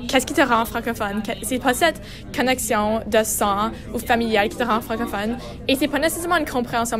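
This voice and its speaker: Teen girl student saying "comme" a lot. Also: fairly high pitch. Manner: fluent, peppered with "comme". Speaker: student in a class discussion. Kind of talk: classroom round-table discussion.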